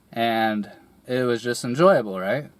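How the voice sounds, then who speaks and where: Man, young adult, raspy from a cold, slow unhurried delivery, hesitant, rambling personal monologue. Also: medium pitch, dry recording. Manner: hesitant, rambling, many fillers and restarts, clears throat often. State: recovering from a cold, voice a little raspy. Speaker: musician telling a personal story.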